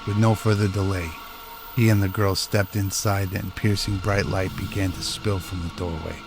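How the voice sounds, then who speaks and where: A man's voice: deep voice, level tone, normal speed. A man reading scripted narration for a radio-style drama. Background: music.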